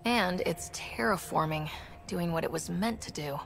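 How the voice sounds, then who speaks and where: An actress playing a young human space explorer in a video game, earnest and determined. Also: female voice, lowish voice, moderate pace, gravelly, slight background noise. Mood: awed, hopeful. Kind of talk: naturalistic video game acting.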